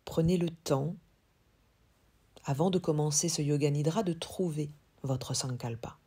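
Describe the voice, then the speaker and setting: Female meditation guide: very unhurried, slightly breathy husky voice, calm instructions, long pauses. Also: deep voice. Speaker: yoga teacher. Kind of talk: guided yoga nidra meditation.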